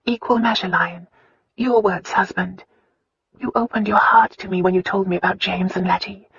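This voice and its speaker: A woman voicing an earnest, breathy young wife. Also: steady tone, moderate pace, fairly bright tone, dry recording. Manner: pleading, breathless, high and emotional. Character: earnest young wife, anxious but loving. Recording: dull, dry audiobook recording.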